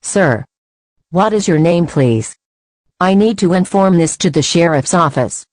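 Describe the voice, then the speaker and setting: A woman playing a businesslike emergency dispatcher in an audio drama, speaking in a formal, measured manner. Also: on the low side, expressive, darkish tone, no room sound. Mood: urgent, insistent. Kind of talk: amateur audio drama acting.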